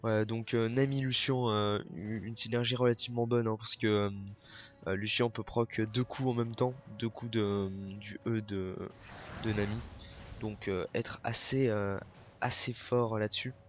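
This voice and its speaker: A man's voice: fairly low pitch, fairly even intonation, unhurried, faint music behind. A young man with a cold, commentating a video game match alone, hesitant, with many fillers and self-corrections. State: has a cold, coughing. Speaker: esports caster.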